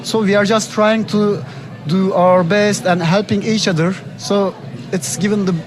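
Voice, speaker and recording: A man in his thirties with a Turkish accent answers interview questions earnestly in non-native English. His voice sits noticeably high for a man. A busy fair crowd is clearly audible around him. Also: fairly even intonation, measured pace, low-quality recording. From Istanbul, Turkey. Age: 30s. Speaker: interview guest, artist and zine publisher. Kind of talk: conversational interview. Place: busy art book fair. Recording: busy fair chatter and street noise.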